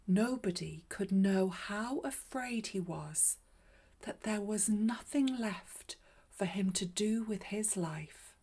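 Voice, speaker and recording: A woman reading a children's story aloud in a measured, expressive way. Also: medium pitch, husky. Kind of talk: scripted storybook narration.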